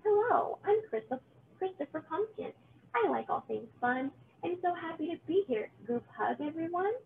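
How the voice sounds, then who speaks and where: A sweet little storybook pumpkin lad, voiced by a woman, very eager and upbeat. The voice is high and friendly, heard on a thin, narrow recording. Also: lively intonation, normal speed, vocal fry, slight background noise, exaggerated voice. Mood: joyful. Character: cheerful, friendly little male pumpkin who loves fun.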